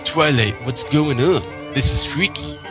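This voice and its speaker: Man voicing a brash pegasus pony girl, pitched up. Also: measured pace, over music, natural voice. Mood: frightened. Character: brash, casual female pegasus pony, sporty and excitable.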